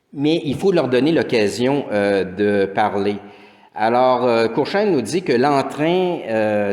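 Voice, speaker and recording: A man's voice: fairly low pitch, a little mellow. A middle-aged man with a Québécois French accent gives a lecture as a teacher, rambling with frequent restarts and fillers. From Quebec. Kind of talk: conference lecture. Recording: echoey hall with long reverb.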